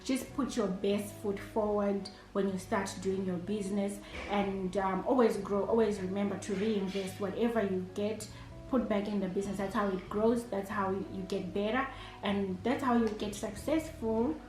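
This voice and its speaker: A woman's voice: mid-range voice, fairly even intonation, moderate pace, darkish tone, faint music behind. A Zimbabwean woman in her thirties, an entrepreneur and chef, answering interview questions in a hesitant way, with many fillers and restarts. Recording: echoey room.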